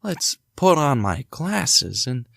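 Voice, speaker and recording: Guy acting as upbeat young husband in an audio drama, gentle n reassuring, really lively pitch swings. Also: slow pace, vocal fry, breathy edge, no echo, studio quality, exaggerated voice. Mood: curious, excited. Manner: reassuring, theatrical, thinks aloud to himself.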